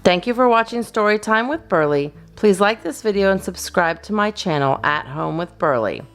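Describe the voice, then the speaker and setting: A woman host giving an expressive, theatrical reading of a children's story. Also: mid-range voice, normal speed.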